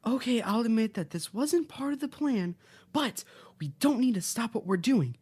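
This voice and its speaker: High husky, perky children's-show host, acted by a woman. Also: expressive, moderate pace, darkish tone. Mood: firm, persuasive. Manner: upbeat, instructive, reassuring. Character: cheerful, bossy children's-show host calmly giving grisly cleanup instructions. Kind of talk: audio drama, parody of educational kids' show.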